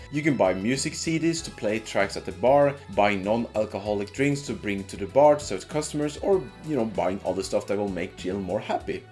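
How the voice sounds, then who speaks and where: A man's voice: mid-range voice, a little mellow. A man narrating a casual scripted video game review in an informal, sweary way.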